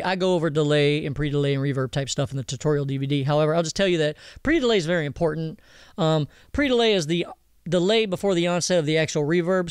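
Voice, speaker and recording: A man giving a casual software tutorial, explaining informally and directly to viewers. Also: a little high, clean tone, no room sound, studio quality. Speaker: audio mixing instructor. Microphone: close voice-over mic over screen recording.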